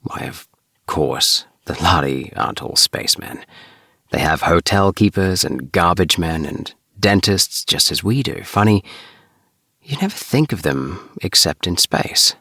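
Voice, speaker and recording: A man's voice: low-pitched, lively intonation, unhurried, vocal fry, bright, forceful tone, no room sound, studio quality. A middle-aged man reading a story aloud as bedtime narration. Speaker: amateur audiobook reader. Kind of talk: scripted story narration for sleep.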